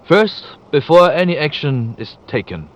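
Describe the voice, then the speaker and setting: An actor playing a cautious, exacting official in an audio drama, speaking formally and firmly on a taped record. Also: male voice, on the high side, expressive, measured pace. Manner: formal, firm. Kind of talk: cinematic audio drama acting. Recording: dull, faintly noisy tape playback.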